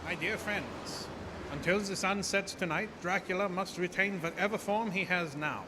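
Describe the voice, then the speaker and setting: An actor playing an earnest, authoritative doctor leading a vampire hunt, deliberate and urgent, in a radio horror drama. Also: male voice, sits high, big-room sound, natural voice. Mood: grave, resolute. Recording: noisy live stage recording.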